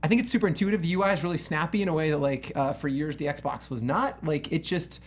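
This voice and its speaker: A man's voice: on the high side, fast talker, slight background noise. A man hosting a casual panel chat about video games, effusive and slangy in the way he talks. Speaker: talk show host.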